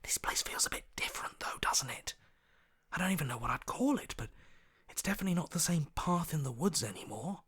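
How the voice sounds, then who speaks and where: Uneasy hero's inner voice, swooping pitch. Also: male voice, expressive, brisk, a bit bright, slightly rough, whispered, full character voice. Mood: uneasy, hushed. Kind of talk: video game voice acting.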